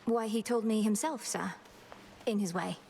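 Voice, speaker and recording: A woman's voice: medium pitch, moderate pace, creaky, mellow, slight background noise, lightly acted. A woman playing a dutiful young witch princess in a video game, formal, polite and resolute. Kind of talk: scripted video game dialogue.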